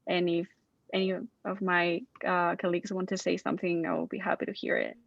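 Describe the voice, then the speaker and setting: A woman's voice: fairly low pitch, little pitch movement, fairly quick, gravelly, dry recording. A young woman from Spain with a Spanish accent, effusively closing a student event on a video call, with many restarts. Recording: dull lo-fi call audio.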